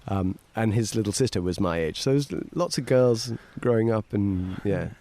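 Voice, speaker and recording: A man's voice: on the low side, moderate pace, dark tone. Rambling middle-aged man, playful and chatty. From Portugal. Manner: rambling, laughs often, playful. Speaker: podcast guest. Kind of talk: casual conversation.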